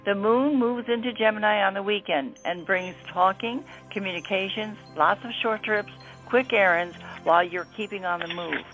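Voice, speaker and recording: A woman's voice: mid-range voice, moderate pace, a bit bright. A woman presenting an astrology segment on radio, reading from a script. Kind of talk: scripted radio horoscope narration. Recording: dull lo-fi audio. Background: faint music.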